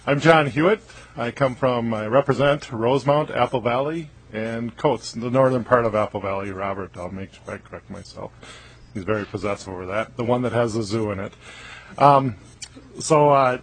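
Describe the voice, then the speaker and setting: A male state legislator introducing himself at a committee meeting, plain and slightly halting. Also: medium pitch, a little hiss or hum, low-quality recording.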